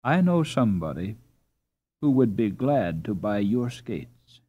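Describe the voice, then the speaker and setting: A man's voice: fairly low pitch, big pitch swings, unhurried, dull audio. A man voicing a kind, gaily teasing young Dutch girl who pleads sweetly in a storybook. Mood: earnest, pleading. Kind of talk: storybook character reading.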